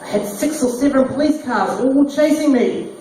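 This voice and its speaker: Deadpan kiwi comic in an echoey bar. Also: male voice, very high-pitched, normal speed. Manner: deadpan pun delivery. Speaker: stand-up comedian. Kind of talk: stand-up one-liners. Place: small bar stage, roomy and echoey. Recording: echoey distant bar recording, noisy. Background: small crowd laughing, bar noise.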